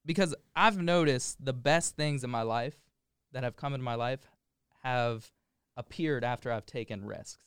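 A man's voice: mid-range voice, normal speed, clean tone, no room sound, studio quality. A young man, a podcast guest, speaking in a casual, reflective way.

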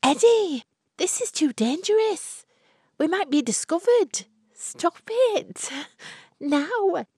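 Woman putting on a high-pitched, wilful young lady. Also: sing-song, takes it slowly, a little mellow, no room sound, clean studio sound, exaggerated voice. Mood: urgent. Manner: imperious, pouting.

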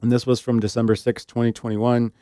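A man's voice: medium pitch, flat delivery, a little fast, dark tone, clear, resonant tone, no echo, studio quality. A middle-aged man co-hosting a casual podcast, with a wry, joking way of talking. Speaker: podcast co-host.

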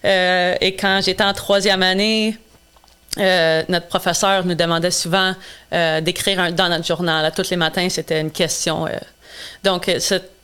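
A woman's voice: on the low side, flat delivery, measured pace, a bit bright, clean tone. A woman in her twenties whose first language is English, answering interview questions earnestly with frequent hesitations. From Quebec. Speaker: guest, charity founder.

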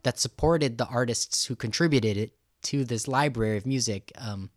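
A man's voice: mid-range voice, normal speed, no room sound, clean studio sound, faint music behind. A man hosting a podcast interview in an enthusiastic, rambling, conversational way. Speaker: podcast host.

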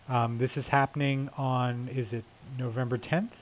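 A man's voice: medium pitch, flat delivery, moderate pace, a little hiss or hum. A man hosting a casual interview over the telephone, chatty and a little hesitant. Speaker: interviewer, host. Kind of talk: casual radio-style phone interview. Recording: dull lo-fi telephone sound.

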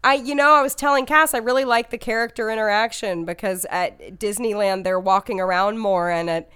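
A young woman co-hosting a podcast, chatty and quick to laugh, a little hoarse from a cold. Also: medium pitch, a bit bright. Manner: chatty, laughs often, many fillers. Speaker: podcast co-host. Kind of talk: casual chat. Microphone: video call.

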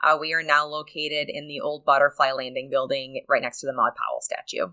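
A woman's voice: rumbling low, steady tone, pushed and bright. A woman, answering as an upbeat guest in a podcast interview. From Peru, Illinois, United States. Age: 30s. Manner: upbeat, quick to laugh. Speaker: podcast guest, small business owner and insurance agent. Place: studio in an office.

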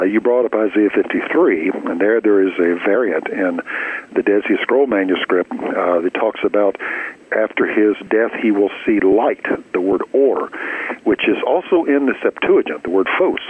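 Male scholar interviewed over a thin phone-like connection, low, husky, explaining as an expert. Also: normal speed. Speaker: expert guest, archaeologist and professor. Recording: slightly rough, very narrow phone-like line.

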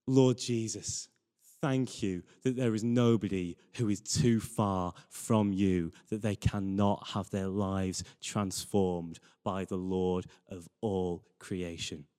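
A man's voice: low-pitched, fairly even intonation, takes it slowly, warm tone, smooth. A man preaching a sermon in an earnest manner, stressing his points with repeated phrases. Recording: reverberant hall.

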